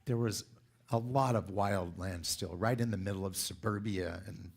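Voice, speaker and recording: A deep-voiced man in his sixties from California talks to a congregation in a loose, playful way. He tells stories and turns to the audience for their answers. His tone sits low and a little husky. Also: moderate pace. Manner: chatty, playful storyteller who asks the audience for input. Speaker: speaker at a spiritual center. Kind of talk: casual talk to a congregation.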